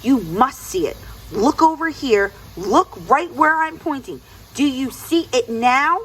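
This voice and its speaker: A woman's voice: high-pitched, lively intonation, unhurried, a bit bright, a little hiss or hum, voice pushed up, poor audio. A woman voicing Anansi's part in a children's storybook, a sly male trickster with a coaxing, insistent way of talking. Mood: impatient, angry. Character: sly lazy trickster spider Anansi, eager and pushy, male.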